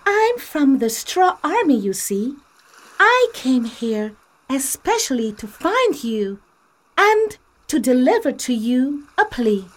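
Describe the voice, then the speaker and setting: A woman doing a squeaky pleading talking straw. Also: heavily acted. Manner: high, pleading, urgent. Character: frantic little talking plastic straw girl. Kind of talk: storybook read-aloud.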